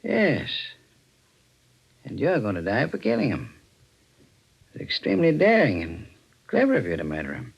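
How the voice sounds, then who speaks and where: Shrewd, accusing district attorney, old-time radio drama, deliberate phrasing, lively intonation. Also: male voice, mid-range voice, creaky, slightly rough, highs cut off, full character voice. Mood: grave revelation. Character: stern, shrewd male district attorney confronting a killer. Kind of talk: 1940s radio acting.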